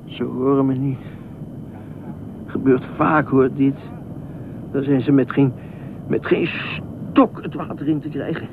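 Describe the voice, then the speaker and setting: A man's voice: mid-range voice, fairly even intonation, slow pace, long pauses. A man in a radio play acting an eccentric, talkative lifeguard, anxious and pleading, rambling and repeating himself. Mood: bewildered. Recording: tinny band-limited radio play, faint noise.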